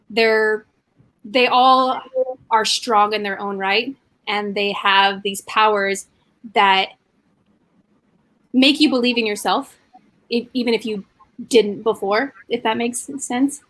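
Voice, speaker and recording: A woman's voice: fairly high pitch, fairly bright tone, poor audio. A woman cast member on a video call, talking hesitantly with little laughs and fillers. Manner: hesitant, giggly, many fillers. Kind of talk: casual video call interview.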